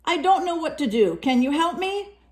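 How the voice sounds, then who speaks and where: A woman voicing a wishful male polar bear from a children's storybook, with expressive, drawn-out delivery. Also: sits high, voice pushed up. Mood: plaintive, pleading.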